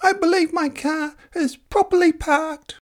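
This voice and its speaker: A performer voicing a polite lady with a creaky voice in a children's storybook. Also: fairly even intonation, a little slow. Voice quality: creaky, very high.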